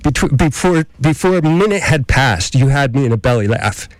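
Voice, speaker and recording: Chatty middle-aged radio host, dry sound. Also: male voice, mid-range voice, expressive, no room sound, rough recording. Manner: chatty, halting, many restarts and fillers. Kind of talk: casual radio interview. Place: island community radio station.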